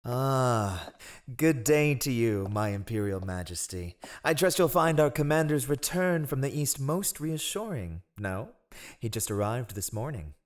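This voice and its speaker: A man's voice: medium pitch, very animated, measured pace. A man playing a ruthless, sardonic spy master who advises a monarch with silky, mocking courtly formality in a fantasy audio roleplay. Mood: smoothly courtly.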